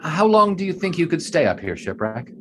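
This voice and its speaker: A man's voice: fairly high pitch, very animated, a little fast, slight background noise. A man playing an inquisitive 1920s sports writer in an audio drama, reflective and literary in his narration and questions.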